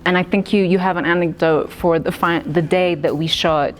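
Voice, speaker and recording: A young woman director speaking in a thoughtful, measured way, with plenty of fillers, in a festival interview. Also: lowish voice, steady tone, a little hiss or hum. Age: young adult. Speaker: writer and director.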